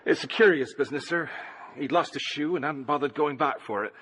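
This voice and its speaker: A man's voice: fairly high pitch, fairly quick, fairly bright tone, husky, poor audio. An actor playing a polite village policeman in a radio drama, with a British accent and a deferential, matter-of-fact manner. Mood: grave, courteous.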